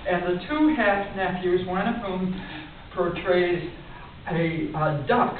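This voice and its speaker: An older woman gives a live poetry reading in a conversational, expressive way. Her voice comes through thin and phone-like, picked up at a distance in a heavily reverberant room. There is a faint hiss behind her. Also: on the low side, slow pace. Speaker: poet reading her work to a conference audience. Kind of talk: live poetry reading with informal spoken introductions. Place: indoor conference room, at a lectern. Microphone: distant camera in the audience. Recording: distant, echoey, muffled narrow-band with hiss.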